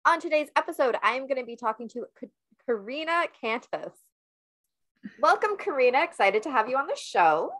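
A woman's voice: high voice, sing-song, fairly quick, fairly bright tone. A woman hosting a podcast interview, upbeat and informal in her questions. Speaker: podcast host.

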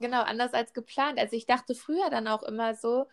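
A woman's voice: a little high, fairly quick. A pregnant woman in her twenties in a casual chat, talking with lots of fillers and restarts. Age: 20s. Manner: chatty, many fillers and restarts.

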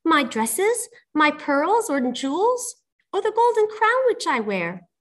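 A woman's voice: extremely high pitch, sing-song, takes it slowly, fairly bright tone, far from the mic, voice pushed up, full character voice. A woman voicing a vain, spoiled young princess in a storybook, exclamatory and haughty. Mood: eager. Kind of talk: storybook character voice.

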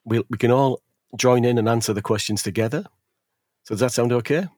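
A man hosting a podcast chat, relaxed and hesitant with plenty of fillers. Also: medium pitch, fairly quick, no echo, clean studio sound. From England. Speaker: podcast host. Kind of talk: casual chat. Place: at home near some furniture.

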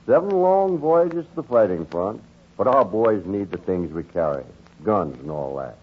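A weary, proud sea captain and family man in a 1940s radio play. His voice is low and husky with animated swings, on a tinny old recording. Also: measured pace, full character voice. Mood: tired, proud.

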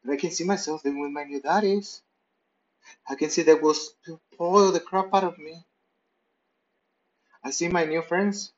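A man's voice: a little high, a little slow, long pauses, lo-fi sound. A man narrating a playful, rambling story in the first person from his pet's point of view. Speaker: pet owner narrating.

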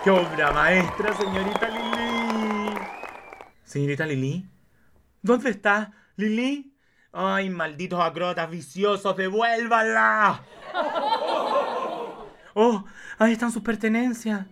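A man playing a flamboyant, lascivious art-film director in a campy radio play, with melodramatic, pompous, drawn-out delivery. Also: high-pitched, over a noisy crowd, very noisy. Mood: grief-stricken, grandiose.

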